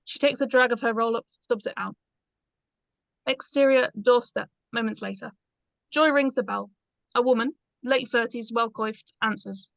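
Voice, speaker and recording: A woman's voice: on the high side, normal speed, creaky, dry recording. A woman reading stage directions aloud as scripted narration in a screenplay read-through.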